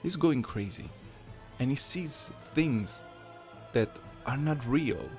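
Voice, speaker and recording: A man's voice: mid-range voice, animated, takes it slowly, darkish tone, faint music behind. A man hosting a gaming channel, talking in a casual video essay style. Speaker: gaming channel host, amateur voice impersonator.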